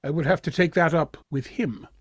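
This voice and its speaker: A man playing a stuffy, haughty waiter in an old-time radio drama, formal, pompous and icily polite, with a husky voice. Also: a little high, normal speed, no room sound, clean studio sound. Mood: offended. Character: stuffy, haughty, easily offended male waiter. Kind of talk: vintage radio drama acting.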